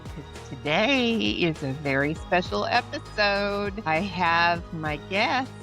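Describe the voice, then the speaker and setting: A woman hosts a podcast with a slightly low voice, talking in a friendly, somewhat rambling way. Faint music plays quietly beneath her speech. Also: expressive, takes it slowly. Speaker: podcast host. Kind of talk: podcast hosting.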